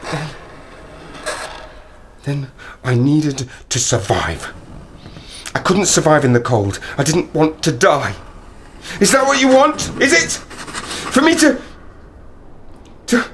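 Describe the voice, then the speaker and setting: An actor playing a haunted, desperate man who survived an Arctic plane crash, halting and muttering to himself in an audio drama. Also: a little high, a bit bright, slight background noise, heavily acted.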